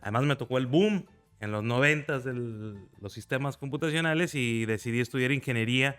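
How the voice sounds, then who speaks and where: Guy, early 40s, smooth clear voice, faint music behind. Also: medium pitch, brisk, fairly bright tone. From Mexico. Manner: earnest, recounts with pride. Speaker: guest, federal deputy. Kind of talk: conversational interview.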